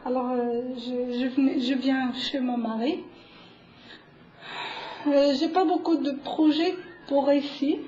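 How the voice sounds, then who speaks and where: A young woman from Morocco introducing herself hesitantly to a group, with many pauses and fillers, in an echoey room. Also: sits high, fairly even intonation. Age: young adult. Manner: hesitant, many fillers and restarts, soft laughs. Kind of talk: halting self-introduction to a group. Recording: echoey room, a bit dull, faint hiss.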